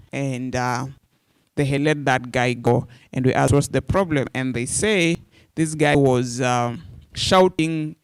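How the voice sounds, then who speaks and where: A man's voice: a little high, no echo. A Kenyan man in his forties, a radio journalist speaking earnestly and directly to media students. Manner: earnest, direct, addresses listeners as "you guys".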